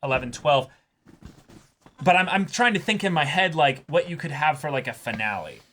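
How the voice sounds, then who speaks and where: Casting: male reaction co-host, bright sharp tone, casual but emphatic, repeats words while working through ideas. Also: a little high, animated, moderate pace. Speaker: co-host of anime reaction show. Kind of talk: casual excited discussion.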